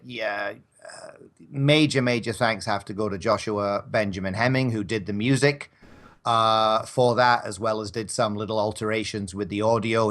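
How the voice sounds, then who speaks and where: A man's voice: fairly low pitch, a bit bright. A man chatting casually as a guest on a live show, rambling and modest about his own work. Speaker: guest, audio drama maker.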